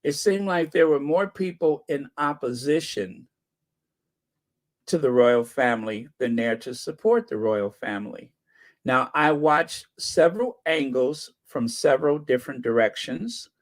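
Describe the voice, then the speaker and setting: Hoarse older man, slow wry commentary. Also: mid-range voice. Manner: wry, sarcastic asides. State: hoarse, scratchy voice. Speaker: channel host. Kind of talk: casual commentary, reading viewer comments.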